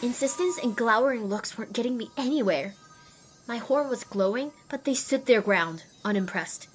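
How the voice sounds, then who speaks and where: A woman's voice: fairly high pitch, lively intonation, normal speed, soft background music, close to an everyday voice, low-quality recording. A woman voicing a young, determined and wry female pony who narrates her own adventure in an audio drama. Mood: resolute. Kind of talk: scripted first-person narration in audio drama.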